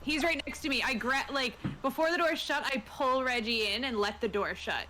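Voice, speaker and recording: A woman's voice: high voice, brisk. A woman playing a tabletop roleplaying game, chatting casually and acting out her moves. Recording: very noisy recording with clicks.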